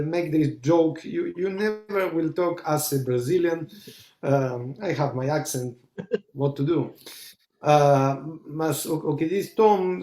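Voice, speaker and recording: Halting man, echoey room. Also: fairly high pitch, mellow. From Argentina. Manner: halting, searching for words, many fillers and restarts. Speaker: rabbi. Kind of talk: conversational discussion, switching between English and Portuguese.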